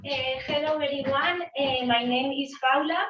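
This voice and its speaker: A woman in her twenties, a native Spanish speaker with a Spanish accent, introducing herself in halting English. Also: sits high, a little hiss or hum. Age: 20s. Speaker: university student. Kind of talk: self-introduction. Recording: echoey, distant, dull video-call audio.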